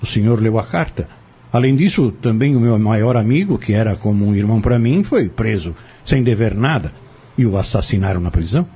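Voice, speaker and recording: Man acting insistent scheming accuser, audio drama, very rough husky voice, persuasive and goading, formal wording, muffled lo-fi sound. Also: on the low side, expressive, a little fast, dark tone. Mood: bitter. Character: insistent, scheming man bent on exposing a corrupt family.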